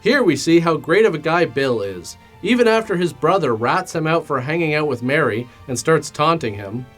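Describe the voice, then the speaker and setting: A man's voice: a little high, animated, moderate pace, a bit bright, soft background music. A man narrating a comedic film recap in a sarcastic, mock-dramatic and casually crude way. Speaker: host of a film commentary show.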